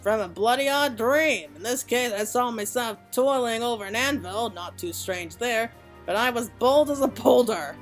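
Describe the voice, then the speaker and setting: A woman's voice: a little high, animated, moderate pace, soft background music, close to an everyday voice. Woman putting on a folksy old master craftsman. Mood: folksy, proud. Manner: folksy dialect, saying "me" for "my". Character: gruff old male master craftsman, folksy, proud mentor. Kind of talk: video game dialogue read aloud.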